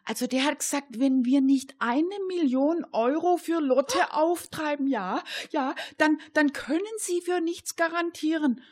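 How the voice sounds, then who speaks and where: A woman playing an excitable amateur detective in a radio play, stammering and exclaiming in a flustered way. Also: high voice, a little slow, few pauses, pitched above the performer's own voice.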